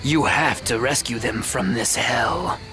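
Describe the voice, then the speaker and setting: A man playing a grave, critical military advisor in a dramatic video game, in a measured, stern, emphatic manner. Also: mid-range voice, pushed and bright, soft background music. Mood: grave, urging.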